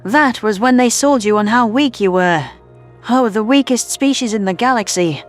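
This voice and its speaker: A woman's voice: on the high side, lively intonation, normal speed, lightly acted. A woman playing an earnest human scientist who explains things soberly in an audio drama. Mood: sober, sympathetic. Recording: music bed under voice.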